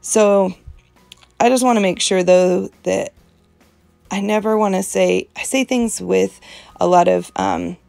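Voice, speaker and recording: Gravelly-voiced woman, earnest and emphatic. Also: mid-range voice, fairly even intonation, measured pace, long pauses, darkish tone, no room sound, faint music behind. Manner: emphatic, earnest and chatty, with frequent laughs; strong-voiced and opinionated. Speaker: host of an art journaling channel. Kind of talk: casual devotional chat while art journaling.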